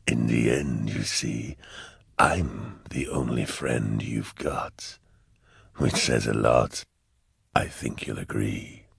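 A man voicing a sly, mockingly friendly male demon in an audiobook, with a drawn-out, insinuating whispery delivery. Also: rumbling low, gravelly, fairly bright tone, slightly rough, dry recording, studio quality, pitched below the performer's own voice, heavily acted. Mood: mock hurt.

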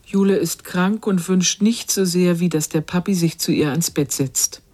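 A woman's voice: lowish voice, normal speed, darkish tone, lightly acted. An actress playing a sober, probing narrator who acts as a girl's inner voice in a radio play, speaking tersely. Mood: sober observation.

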